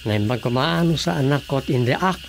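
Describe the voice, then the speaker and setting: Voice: mid-range voice, lively intonation, normal speed, vocal fry, slight background noise. An actor with a Filipino accent playing a troubled grown child fretting over family in a radio drama. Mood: worried.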